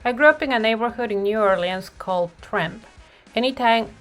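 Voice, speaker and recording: A woman narrating a children's picture book in an expressive read-aloud style, with deliberate phrasing and emphasized words. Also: a little high, poor audio. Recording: music playing behind the voice. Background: music.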